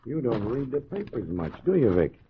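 A man's voice: medium pitch, sing-song, moderate pace, phone-quality sound. A man playing a skeptical, dry small-time mobster in a 1940s radio crime drama, with slangy needling. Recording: thin, noisy old radio recording.

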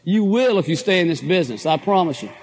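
A middle-aged man with a hoarse voice, giving an enthusiastic, folksy motivational talk to a live audience. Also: sits high, animated, quick pace, slight background noise. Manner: folksy, enthusiastic, addresses crowd as guys and folks. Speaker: motivational speaker at a business convention.

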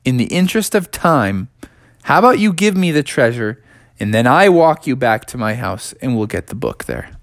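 A man's voice: mid-range voice, sing-song, normal speed, no echo, studio quality. A man voicing a young, good-natured frog adventurer, nervous and eager, with an earnest, hesitant way of talking, for a children's story. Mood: bargaining.

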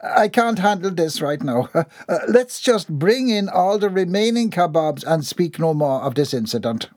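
A genteel lady hostess , voiced by a reader, raised above the reader's own pitch, speaking politely and properly. Her intonation rises and falls widely, recorded dry and close. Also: expressive, moderate pace, a little mellow, no room sound, close to an everyday voice. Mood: flustered.

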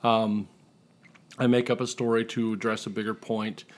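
A man's voice: fairly low pitch, little pitch movement, normal speed. A man talking casually and jokingly as a writer being interviewed on a podcast.

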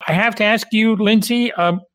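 Man, podcast host, friendly, conversational, slightly higher pitch, dry sound. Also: normal speed, a bit bright, smooth, no echo. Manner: friendly, conversational questions with restarts. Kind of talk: interview hosting.

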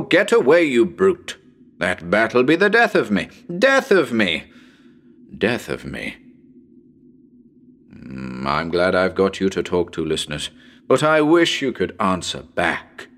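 A man's voice: low voice, huge pitch swings, slow pace, a bit bright, exaggerated voice. A man playing a nervous radio broadcaster on a ghost hunt in a dramatic reading, talking to listeners in a chatty, hesitant, uneasy way. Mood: rattled, gloomy. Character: nervous male radio broadcaster ghost hunting in haunted house.

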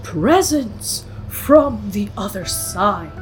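A woman playing a self-assured teenage girl leading a séance in a horror audio drama, husky, solemn and theatrical. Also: mid-range voice, lively intonation, drawn-out words, faint music behind. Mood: solemn, summoning.

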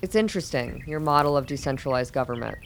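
A woman's voice: very deep voice, fast talker, gravelly, a little hiss or hum. A woman playing a formal, self-assured older doctor in a radio drama, composed and guarded. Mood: cordial.

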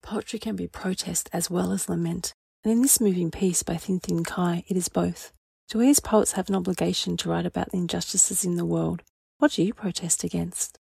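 Husky, creaky-voiced woman presenting poetry. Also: lowish voice, steady tone, fairly quick, dark tone, dry recording. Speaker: podcast host. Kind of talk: scripted narration.

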